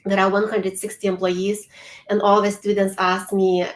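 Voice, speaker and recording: A woman's voice: mid-range voice, flat delivery, normal speed, echoey room. A woman from Lithuania with a Lithuanian accent, a native Lithuanian speaker sharing her story as a speaker in an online panel chat, earnest and sometimes searching for words. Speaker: summit speaker. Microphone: video call.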